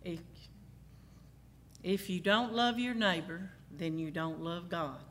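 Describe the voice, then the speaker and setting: A man's voice: high voice, slow, sharp tone, in a large hall, slight background noise. A man casually leading a group in a gospel song, coaxing the singers along in an informal way. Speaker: song leader.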